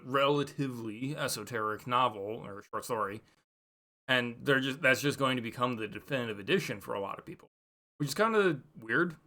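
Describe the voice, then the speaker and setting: A man with a very bright, forceful voice at a middling pitch talks through a casual book review. He rambles and halts as he thinks aloud, stating opinions and then qualifying them.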